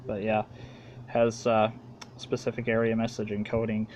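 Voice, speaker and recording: A man with an American accent giving a casual, halting, plain-spoken show-and-tell as a hobbyist making a home video. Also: fairly low pitch, monotone, highs cut off, background noise.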